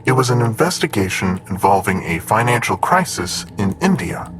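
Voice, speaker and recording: A low male voice with lively intonation, as a precise, unflappable android assistant in an audio drama. The delivery is formal and measured, with clear noise and typing behind it. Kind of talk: audio drama acting, report reading.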